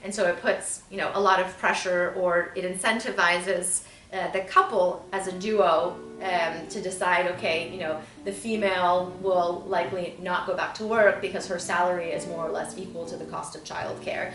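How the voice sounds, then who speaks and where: Woman, thirties, lower register, conversational interview guest explaining herself, recorded roomy and off-mic. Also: fairly even intonation, normal speed, few pauses, a bit bright. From born in Bulgaria, grew up in Southern California. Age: 30s. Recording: roomy echo, distant mic, faint music.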